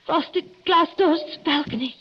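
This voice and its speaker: An actress playing a frightened young bride in 1940s radio drama, hysterical, halting and breathless on a dull, noisy old recording. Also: female voice, very high voice. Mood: frightened, urgent. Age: young adult. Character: frightened young bride recounting a murder attempt.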